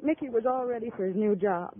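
Actress as tough, weary criminal's wife, 1950 radio play, dull hissy sound. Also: female voice, fairly high pitch, fast talker, lightly acted. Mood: bitter, confessing. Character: hard-bitten, deceitful female gangster's wife. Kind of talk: 1950s radio acting. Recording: narrow, noisy 1950 radio recording.

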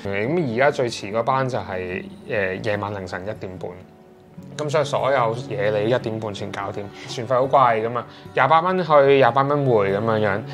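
A man in his thirties with a Hong Kong Cantonese accent, chatting in an interview at home and pausing often with fillers and restarts. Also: on the low side, a bit bright, faint music behind. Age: 30s. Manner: hesitant, many fillers and restarts. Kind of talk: casual interview chat. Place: indoors in a sea-view flat.

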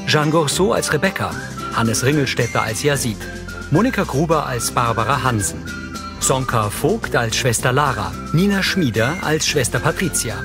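A man reading scripted audio description in a measured, matter-of-fact way. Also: mid-range voice, expressive. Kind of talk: scripted narration, present-tense audio description. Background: music.

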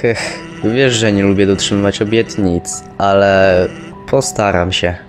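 A laid-back skeleton man from a cartoon dub acted by a man. He talks low and very slowly, halting and understated, with music behind him. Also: steady tone, lightly acted. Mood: weary, reassuring.

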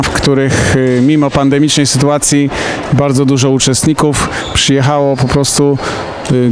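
A middle-aged man, a tournament organizer, giving an on-camera statement into a handheld mic in a large indoor sports hall, speaking haltingly with many hesitations and restarts. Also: mid-range voice, background noise. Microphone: handheld mic held close.

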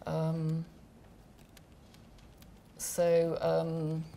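Woman director, deep fry-edged voice, halting panel talk in a big room. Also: completely flat delivery, dark tone. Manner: hesitant, many fillers and restarts. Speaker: film director. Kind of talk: panel discussion answer. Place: large gallery room.

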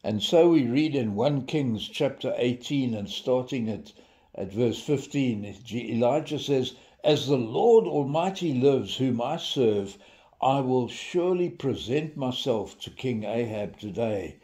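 An older man, giving an informal devotional in a deliberate storytelling manner with dramatic emphasis. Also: mid-range voice, lively intonation, dark tone. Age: 70s. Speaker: devotional preacher. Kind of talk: informal sermon with storytelling and scripture reading.

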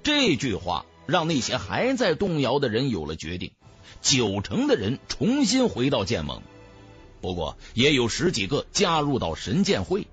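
A man's voice: mid-range voice, wildly swooping pitch, normal speed, slightly rough, highs cut off. A man narrating a fantasy audiobook in a dramatic, scripted storytelling style. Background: background music.

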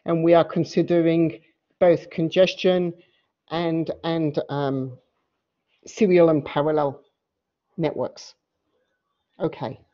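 A woman professor giving an academic lecture, explaining slides in a halting way and often rephrasing mid-sentence. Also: lowish voice, warm tone. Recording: dull, muffled lo-fi audio.

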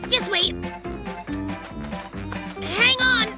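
High, boastful little bear cub. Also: extremely slow, music in the background, heavily acted. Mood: eager, boastful. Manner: showy, overconfident, theatrical announcing. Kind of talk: children's cartoon voice acting.

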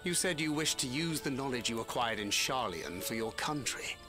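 An actor playing a supportive young adventurer in a video game, sincere, plain-spoken and encouraging. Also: male voice, mid-range voice, lively intonation, normal speed, close to an everyday voice. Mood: warmly persuasive. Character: candid, supportive young male adventurer, steady mentor. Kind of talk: video game cutscene acting. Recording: background music under dialogue.

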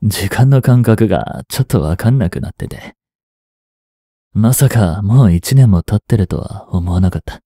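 A man in his twenties playing a reluctant, guilt-ridden young hitman in an audio drama, softly spoken and halting. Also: on the low side, animated, dry recording, clean studio sound. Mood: feigned casual. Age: 20s. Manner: halting, subdued delivery. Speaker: voice actor. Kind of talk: Japanese audio drama monologue and dialogue.

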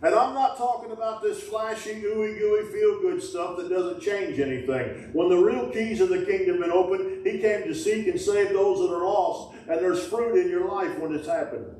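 Man, middle-aged, folksy call-and-response preaching, voice on the higher side, echoey church, mic far off. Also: normal speed, few pauses, darkish tone, slight background noise. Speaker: pastor. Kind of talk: sermon. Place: small wooden-walled church sanctuary.

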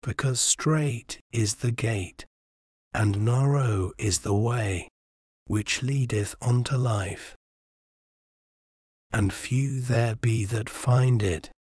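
Slow, soothing male Bible reader. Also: medium pitch, a little mellow, dry recording, clean studio sound. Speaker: scripture reader. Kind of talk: slow bedtime Bible reading.

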